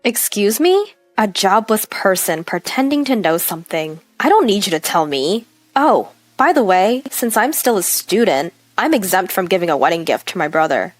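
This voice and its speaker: A woman's voice: medium pitch, big pitch swings, normal speed, no echo, soft background music. A woman voicing a haughty, mocking young female medical student in a story, rude and condescending.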